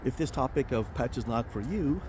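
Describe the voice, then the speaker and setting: A man chats his way through a casual outdoor vlog, wandering from point to point with plenty of fillers and restarts. His voice is mellow and warm, and a faint hum of outdoor noise and traffic sits under it. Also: medium pitch, expressive, brisk. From Romania. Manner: rambling, chatty, many fillers and restarts. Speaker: vlog host, financial planner. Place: outdoors in a park near a highway.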